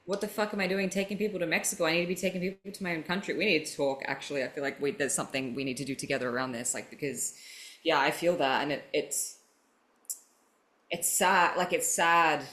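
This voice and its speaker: A woman's voice: low voice, quick pace, a bit bright. A woman hosting a podcast, talking animatedly and swearing freely as she thinks aloud in casual chat. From Greek heritage. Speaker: podcast host.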